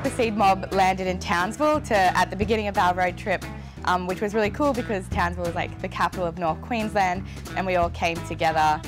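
A woman's voice: fairly low pitch, fairly quick. Young Australian woman over music. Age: young adult. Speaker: youth climate network member. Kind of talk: casual interview recounting. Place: indoor living room on a sofa.

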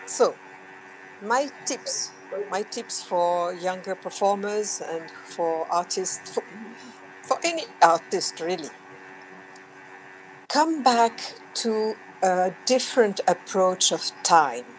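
A woman's voice: medium pitch, lively intonation, a little hiss or hum, low-quality recording. Reflective French woman on a video call. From France. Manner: reflective, pausing, with soft laughs. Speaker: guest dancer and actress. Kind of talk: video call panel talk. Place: home room with bookshelves. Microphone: laptop webcam on a video call.

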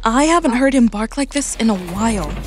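A woman's voice: on the high side, expressive, fairly quick, faint music behind, natural voice. An actress playing an anxious, caring young woman in naturalistic drama dialogue. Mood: worried.

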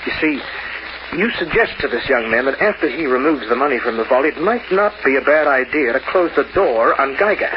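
Muffled and noisy like old radio, an actor plays a young hard-boiled man on the make. He talks quickly and clipped in a cool noir style. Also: medium pitch, animated, lightly acted. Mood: sly, cool. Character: scheming, cool, hard-boiled young male lover and crook. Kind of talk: 1940s radio noir acting.

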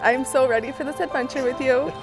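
A woman's voice: high voice, fairly even intonation, quick pace. A young woman, a bride, tenderly giving heartfelt wedding vows at an outdoor ceremony. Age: young adult. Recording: music under the voice. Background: music.

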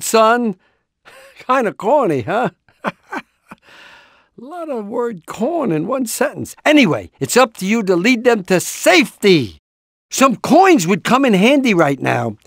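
A man's voice: extremely high pitch, fairly bright tone, dry recording, clean studio sound, full character voice. A man voicing an anxious, encouraging, portly middle-aged rooster father in a cartoon video game, with a hesitant, rambling, fatherly manner. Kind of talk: animated video game character lines.

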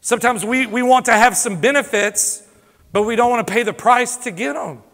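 A man's voice: extremely high pitch, moderate pace. Fired-up preacher man. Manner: fervent preaching, swings from loud exhortation to hushed confession. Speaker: lead pastor. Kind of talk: impassioned sermon. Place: church sanctuary. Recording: long hall reverb from a church sanctuary.

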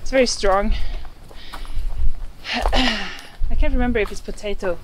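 A woman's voice: medium pitch, unhurried, noisy background. A young woman from Norway with a Norwegian accent, chatting playfully while hiking outdoors. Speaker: girlfriend on hike. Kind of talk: casual chat. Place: outdoors in forest and grassland.

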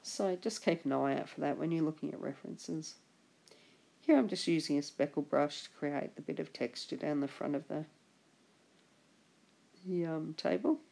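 Husky, gravelly woman teaching drawing. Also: low-pitched, moderate pace, long pauses, mellow, poor audio. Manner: chatty, encouraging, trails off and restarts. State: husky from a chest infection. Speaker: art instructor. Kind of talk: relaxed drawing tutorial voice-over.

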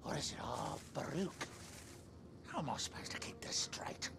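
A man's voice: mid-range voice, very animated, moderate pace, gravelly, in a whisper. A man voicing a grumbling, confused orc grunt in a video game, muttering questions to himself. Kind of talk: video game cutscene acting.

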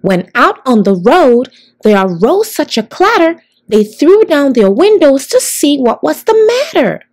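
Female storyteller for children's read-alouds: highish voice, very lively intonation, addressing young listeners deliberately. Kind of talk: expressive picture-book read-aloud for children.

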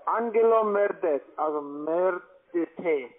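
High-voiced foreign-accented man, halting, phone line. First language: not German. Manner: halting, broken phrasing. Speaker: cold-calling call-centre agent. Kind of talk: pushy phone instructions.

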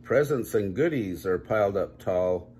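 An older man reading a children's story aloud at home in measured, drawn-out storybook phrasing. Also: fairly low pitch, expressive, darkish tone, a little hiss or hum. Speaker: grandpa reading a picture book aloud. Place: small home room, indoors.

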